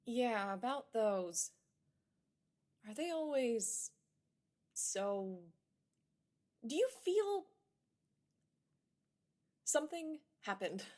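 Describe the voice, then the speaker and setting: Anxious new female patient, radio drama; high voice, slow and halting. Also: big pitch swings, a little mellow, heavily acted. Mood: hesitant, uneasy. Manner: hesitant, halting.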